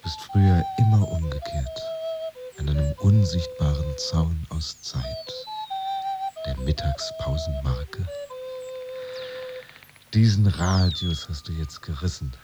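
An actor playing a bewildered, brooding jobless office worker in a musing, hesitant radio play monologue. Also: male voice, extremely low pitch, slow pace, long pauses. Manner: musing, hesitant, addressing himself. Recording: slightly muffled, music underneath. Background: music.

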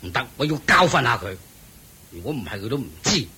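A stern, raspy old-style master. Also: male voice, mid-range voice, big pitch swings, fast, bright, forceful tone, exaggerated voice. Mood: lecturing. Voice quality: lecturing, commanding. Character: stern thrifty wealthy male master of house. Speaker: head of household. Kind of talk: radio drama acting.

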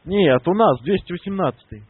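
A young man with a slightly husky, somewhat high voice needles an older woman over a tinny phone line, asking mock-innocent questions. His pitch swings around a lot, and the sound is dry and narrow. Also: lively intonation, a little fast, no echo. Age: 20s. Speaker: prank caller. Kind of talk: prank phone call. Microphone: telephone.

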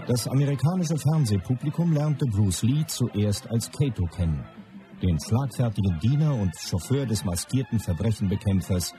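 An actor playing a documentary narrator, delivering scripted narration in a radio play. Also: male voice, mid-range voice, animated, moderate pace, natural voice. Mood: informative. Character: documentary narrator introducing a television hero. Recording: music under the voice.

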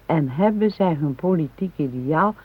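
An actress playing a fretful, nagging middle-aged wife in a naturalistic radio drama, earnest and reproachful, with a husky voice. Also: female voice, low-pitched, expressive, moderate pace. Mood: earnest, reading aloud. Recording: tinny, phone-like radio recording.

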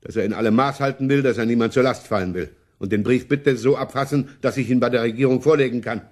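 A man plays a wary ruler in a radio drama. His mid-pitched voice is curt and insistent, dictating like someone anxious to stay out of trouble. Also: fast, a little mellow, lightly acted. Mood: wary, commanding. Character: cautious, self-protective male prince giving orders. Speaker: actor in a radio play. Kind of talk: German radio drama acting.

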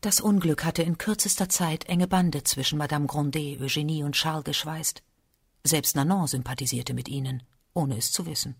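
A man's voice: a little high, moderate pace, vocal fry, husky. A man reading scripted literary narration as a narrator in a radio drama.

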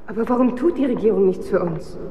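Actress as anxious, mellow-voiced woman in echoey hall. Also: mid-range voice, fast talker, muffled recording, lightly acted. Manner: terse, anxious, questioning. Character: uneasy woman anxiously questioning her companion. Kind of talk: German radio drama acting. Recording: echoey hall, faint noise.

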